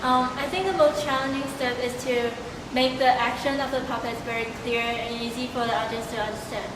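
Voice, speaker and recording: A woman's voice: on the high side, steady tone, fairly quick. A young woman giving considered answers in an informal interview about her work. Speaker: student puppeteer being interviewed. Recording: echoey, distant, noisy room.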